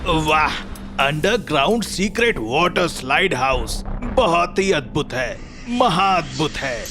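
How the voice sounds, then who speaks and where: A man's voice: fairly high pitch, big pitch swings, slow pace, over music, full character voice. A man acting a blustering comic figure in a cartoon story, with theatrical, exaggerated delivery. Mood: gleeful, boastful. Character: blustering male police officer and boastful male thief in comic story.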